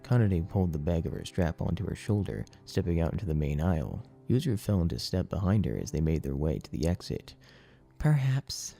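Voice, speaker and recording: A man narrating dramatic fiction in a solemn, deliberate storytelling manner. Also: deep voice, expressive, creaky, mellow. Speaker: audiobook narrator. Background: music.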